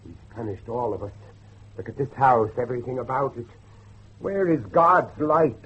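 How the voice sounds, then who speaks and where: An actor playing an anguished middle-aged father and judge, intense and halting, in a horror audio drama. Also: mid-range voice, animated, a bit bright, slightly rough. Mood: demanding, bitter. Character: anguished older father, a judge hunting his lost son. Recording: muffled lo-fi recording with faint noise.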